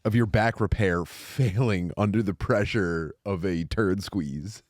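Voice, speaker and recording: A man's voice: sits low, measured pace, a little mellow, no echo, clean studio sound. A man bantering and reading aloud in a joking, exaggerated, colloquial way. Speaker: guest narrator and comedian.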